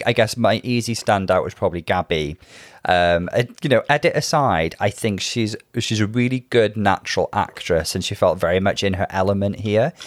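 A man co-hosting a podcast, giving thoughtful, measured opinions on a TV show and laughing often. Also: on the low side, no echo, clean studio sound. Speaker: podcast co-host. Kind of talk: casual chat reviewing a TV show.

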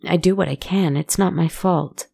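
A frightened young laundry girl stammers out her answers in a raised, nervous voice, as a storyteller plays her. A gravelly, breathy grain stays under the higher pitch. It is heard close and dry. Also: moderate pace, mellow. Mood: anxious.

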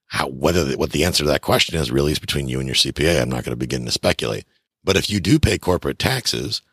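A man's voice: extremely low pitch, fairly bright tone, slightly rough, no echo, clean studio sound. A man in his fifties hosting a podcast, giving blunt, chatty advice on real estate and taxes straight to his listeners. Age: 50s. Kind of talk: casual advice monologue.

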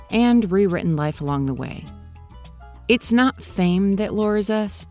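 A woman hosting a podcast, telling a story in calm, gentle scripted narration. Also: deep voice. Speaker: podcast host.

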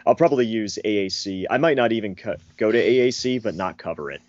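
A man with a lowish, slightly hoarse voice chats as a podcast co-host, rambling and halting as he goes. The recording is dry and somewhat dull. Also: lively intonation, a little mellow, dry recording. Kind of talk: casual podcast chat.

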